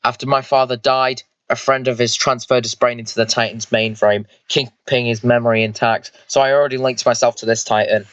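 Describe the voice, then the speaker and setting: A man's voice: medium pitch, little pitch movement, a little fast, hardly any pauses, a bit bright, no room sound, lo-fi sound, natural voice. A man voicing an eager, vengeful squad leader and mech pilot in an amateur sci-fi story, informal and explanatory. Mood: instructive.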